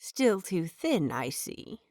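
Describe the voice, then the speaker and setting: A woman voicing a brisk, no-nonsense female head healer who speaks in a clipped, stern way for an audio fanfic reading. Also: on the low side, big pitch swings, darkish tone, no echo, clean studio sound. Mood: disapproving.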